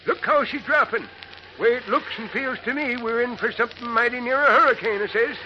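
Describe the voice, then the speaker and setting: A very high, folksy old male boatman from a vintage radio drama. He rambles in a dialect, worried and never hurried. The sound is narrow and faintly noisy, like an old broadcast. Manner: rambling, repeats himself. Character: worried, long-winded old male boatman, stubbornly unhurried. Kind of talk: 1940s radio acting.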